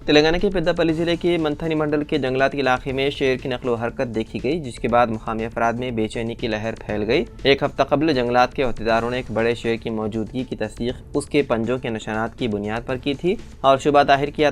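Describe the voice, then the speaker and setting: A man's voice: medium pitch, steady tone, fairly quick, hardly any pauses, faint music behind. A man reading scripted news as a bulletin narrator, with formal Urdu news diction. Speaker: news reader.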